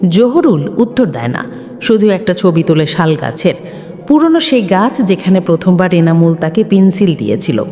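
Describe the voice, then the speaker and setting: A woman's voice: fairly low pitch, big pitch swings, normal speed, dark tone. A woman reading a scripted, emotional story as narrator. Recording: long reverb, music underneath. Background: background music.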